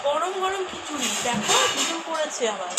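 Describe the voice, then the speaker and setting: A woman's voice: high voice, very animated, moderate pace. A woman chatting casually at home, coaxing and insistent in her manner. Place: at home, indoors, away from the mic. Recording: echoey room, off-mic, loud background noise.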